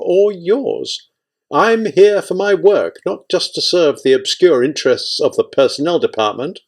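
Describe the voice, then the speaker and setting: A man voicing a proud, indignant male scientist in an audiobook, forceful, exasperated and emphatic. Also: on the low side. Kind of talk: audiobook character acting.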